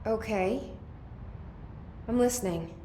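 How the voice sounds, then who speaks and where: An actress playing a tough, wary young sister in a video game, terse, sardonic and determined. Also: female voice, fairly high pitch, slow pace, warm tone. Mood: guarded, determined. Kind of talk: naturalistic video game acting. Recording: noisy ambience behind the voice.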